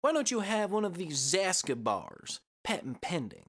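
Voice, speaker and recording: Woman playing a cocky, lively ace pilot boy. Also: lowish voice, normal speed. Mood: boastful. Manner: breezy, boastful, folksy. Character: cocky, folksy, chatty young male ace pilot.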